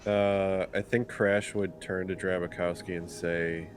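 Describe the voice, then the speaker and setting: A man's voice: low voice, flat delivery, a little slow. A man talking casually and a little hesitantly as a player in a tabletop roleplaying game.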